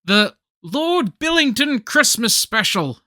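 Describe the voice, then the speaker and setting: Man acting excitable, bright-voiced nerdy party host. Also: very high voice, slow pace, dry recording, clean studio sound, exaggerated voice. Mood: anxious, dramatic. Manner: theatrical, geeky slacker banter. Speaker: actor in a radio comedy play. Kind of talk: scripted radio play comedy dialogue.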